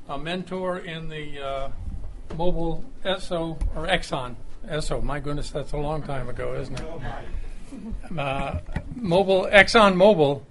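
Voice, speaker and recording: An older man with a slightly raised pitch speaks informally and haltingly. He stops to correct himself, with faint noise in the room. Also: a bit bright, rough recording. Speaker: club member giving a tribute. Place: restaurant room at a club luncheon.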